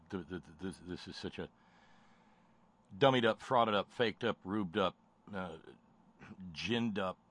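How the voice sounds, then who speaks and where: A man's voice: low voice, a bit bright. Mocking, stammering male commentator. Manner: mocking, piles up slangy insults, stammers on repeated words. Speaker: livestream commentator. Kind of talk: sarcastic running commentary over a hearing.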